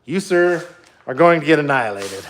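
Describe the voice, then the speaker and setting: A man's voice: fairly high pitch, animated, moderate pace, a little hiss or hum. A man in his thirties from Minnesota with a Minnesotan accent, chatting casually on a live stream while working in his home garage, rambling and self-deprecating, talking into a phone. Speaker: livestreaming truck builder. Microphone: phone held at arm's length, later set down away.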